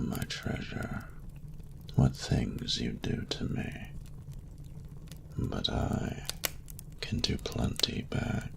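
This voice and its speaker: A man voicing an ancient, courtly dragon ruler who speaks in a breathy, gravelly murmur with formal, doting phrasing, in an intimate romantic audio roleplay. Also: low-pitched, full character voice. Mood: seductive, longing. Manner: slow, formal, archaic, tender phrasing.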